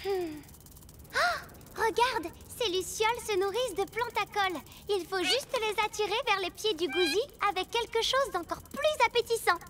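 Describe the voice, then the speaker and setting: Very high-voiced cartoon pirate girl. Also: expressive, normal speed, noisy background. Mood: concerned, earnest. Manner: eager, earnest. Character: brave, kind young pirate girl captain. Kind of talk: animated cartoon dub. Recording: loud music behind.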